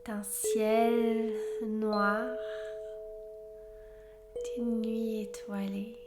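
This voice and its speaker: A woman leading a guided relaxation as a yoga nidra teacher, soothing and unhurried, often in a breathy half-whisper. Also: on the high side, little pitch movement, over loud music. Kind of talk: guided meditation, soft-spoken relaxation instruction.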